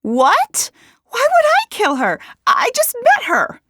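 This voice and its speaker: A woman's voice: sits high, sing-song, moderate pace, a bit bright, dry recording, clean studio sound, pitched above the performer's own voice. A woman voicing a practical but defensive woman in an audiobook mystery, speaking emphatically. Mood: defensive, indignant. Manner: emphatic, exclaiming. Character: practical, defensive woman protesting her innocence.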